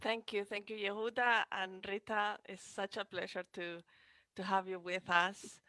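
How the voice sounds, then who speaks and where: A woman in her thirties co-hosting a webinar, haltingly phrasing audience questions into a headset. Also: medium pitch, level tone, pushed and bright, dry recording. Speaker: co-host relaying audience questions. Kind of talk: webinar moderation, unscripted. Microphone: headset boom mic on video call.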